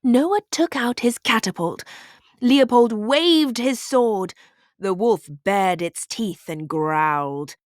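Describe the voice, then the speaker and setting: Female read-aloud narrator for kids' storybook, animated intonation, deliberate slow pacing. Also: on the high side, no room sound, clean studio sound.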